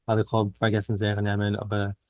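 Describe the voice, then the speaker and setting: A man interviewed as a guest poet, speaking a learned second language in hesitant, deliberate short phrases with pauses. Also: fairly low pitch, completely flat delivery, darkish tone, no echo. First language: not a native speaker of Yiddish. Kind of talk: reflective interview conversation.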